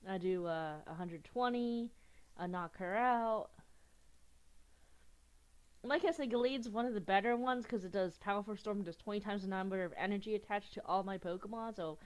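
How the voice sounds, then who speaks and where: A woman's voice: medium pitch, normal speed, smooth. A young woman with a cold, sniffling a little as she chats casually through an online card game, giggling and restarting her sentences. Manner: chatty, giggly, restarts often. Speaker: gaming youtuber.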